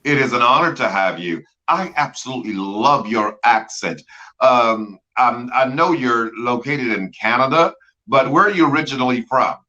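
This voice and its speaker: A male host running a show with authors, praising guests warmly and often stumbling over repeated words. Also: medium pitch, moderate pace. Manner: effusive, repeats words, fills with "uh". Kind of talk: enthusiastic author interview. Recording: dull lo-fi sound.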